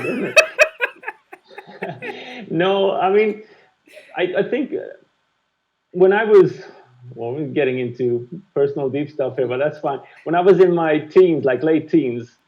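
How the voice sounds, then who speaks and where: A man's voice: mid-range voice, lo-fi sound. A man in his fifties, a music producer, talking frankly and reflectively in an interview, swearing now and then. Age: 50s. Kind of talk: candid conversational interview.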